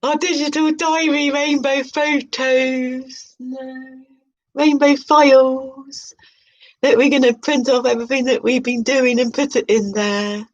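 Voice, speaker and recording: A woman's voice: high voice, little pitch movement, slow pace. A woman hosting a video, reading aloud about tarot with playful, chatty spiritual asides. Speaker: video host. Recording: muffled, dull lo-fi sound.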